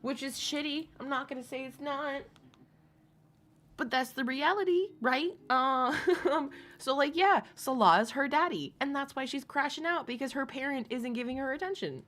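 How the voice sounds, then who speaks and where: A woman's voice: high voice, moderate pace, fairly bright tone, slight background noise. A woman commenting casually to her live chat, rambling and laughing often, with asides to viewers. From Alaska. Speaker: commentary streamer.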